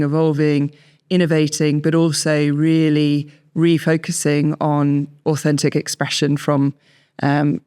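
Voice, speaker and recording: Clear, smooth southern English woman. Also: low-pitched, warm tone. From southern England. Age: 30s. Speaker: wine expert and writer, guest. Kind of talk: conversational interview answers.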